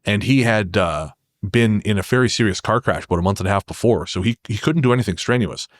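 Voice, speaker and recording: Low-voiced man telling stories casually. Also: fast talker, dry recording, studio quality. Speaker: podcast guest. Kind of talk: casual conversational storytelling.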